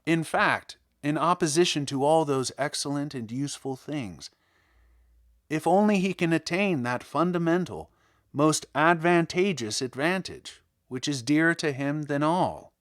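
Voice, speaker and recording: A man's voice: a little high, expressive, a little slow. Man reading a literary monologue, emphatic phrasing. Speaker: audiobook reader. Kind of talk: literary audiobook narration of a first-person monologue.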